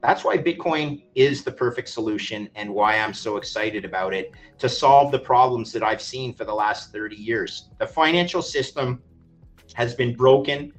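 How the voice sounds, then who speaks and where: A man's voice: medium pitch, faint music behind, low-quality recording. Emphatic male podcast guest from Canada. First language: English. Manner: emphatic, evangelizing, drawn-out stress on key phrases. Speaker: podcast guest, finance expert. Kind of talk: animated interview talk.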